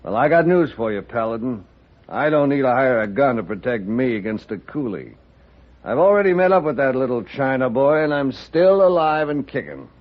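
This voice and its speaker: On a muffled vintage radio recording, a man plays a gruff frontier railroad boss. He is cocky and scornful, talking in a blunt, folksy way at a middle pitch, in 1950s Western radio drama style. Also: animated, normal speed, close to an everyday voice.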